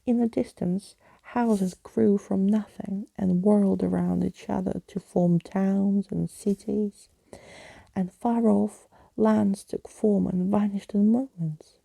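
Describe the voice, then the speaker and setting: Slow, hushed woman reading a story, very dark-toned. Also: medium pitch, fairly even intonation, vocal fry, dry recording. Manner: hushed, lingering delivery, often dropping into whisper. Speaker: ASMR reader. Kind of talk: soft ASMR storybook reading.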